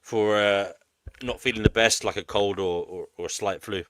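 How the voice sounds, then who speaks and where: A man's voice: fairly low pitch, steady tone, moderate pace, fairly bright tone, no room sound. A man hosting a live panel stream, chatting casually and informally with guests.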